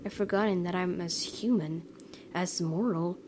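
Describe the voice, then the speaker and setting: A woman's voice: on the low side, normal speed, noisy background. A woman gaming YouTuber doing a let's play, reading story text aloud expressively with casual asides. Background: game music.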